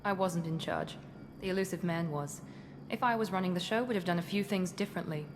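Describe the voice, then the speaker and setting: Woman acting a cool, low-voiced covert operative. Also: fairly quick, close to an everyday voice. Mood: detached, matter-of-fact. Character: cool, confident, critical female covert operative. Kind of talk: video game cutscene acting. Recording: clear ambient noise behind.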